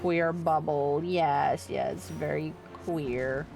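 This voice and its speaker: A woman's voice: low voice, steady tone, drawn-out words, creaky, over music. A woman livestreamer chatting casually with viewers while she plays an online game, playful, informal and sweary. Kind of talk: casual chat with viewers during gameplay.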